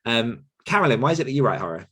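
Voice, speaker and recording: A man's voice: medium pitch, sing-song, very fast, bright, forceful tone, slightly rough. A man hosting a podcast group discussion in a casual, conversational style. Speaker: podcast host.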